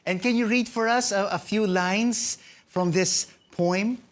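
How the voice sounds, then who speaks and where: A male news anchor in a TV studio, asking measured interview questions with frequent ums. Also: high voice. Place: television studio.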